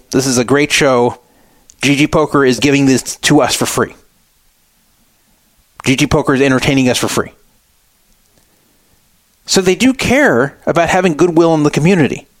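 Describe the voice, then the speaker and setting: A middle-aged man hosting a talk radio show, giving opinionated solo commentary with emphatic, deliberate phrasing and repetition for emphasis. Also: on the high side, no room sound.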